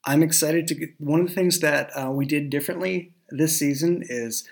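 A man's voice: a little high, moderate pace, vocal fry. A man talking casually and with enthusiasm as a show creator on a podcast. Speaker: audio drama creator and writer.

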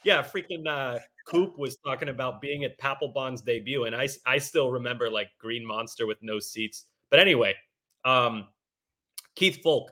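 Bright, excitable twenty-something co-host. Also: male voice, mid-range voice, normal speed. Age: 20s. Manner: excitable, jokey, stammering restarts. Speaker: podcast co-host. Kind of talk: casual sports podcast chat.

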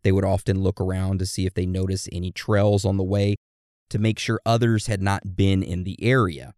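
A man's voice: low-pitched, level tone, moderate pace, a little mellow, dry recording, studio quality. A man co-hosting a casual chat, telling eerie stories. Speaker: podcast co-host.

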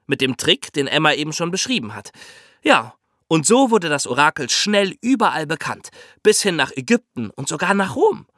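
A man's voice: a little high, very animated, normal speed, a bit bright, no room sound, clean studio sound. A man co-hosting a history podcast, explaining things in a colloquial, storytelling way.

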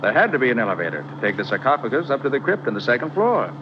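A man in a 1940s radio play acting a confident detective who lays out the case in brisk, self-assured explanations. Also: medium pitch, big pitch swings, noisy background, natural voice. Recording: dull old radio broadcast.

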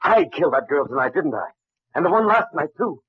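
A man's voice: fairly high pitch, very animated, brisk, husky, no echo, heavily acted. A man acting a scientist's tormented son, turning into an ape, in a 1940s radio melodrama. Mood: anguished, horrified. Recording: slightly muffled old radio broadcast.

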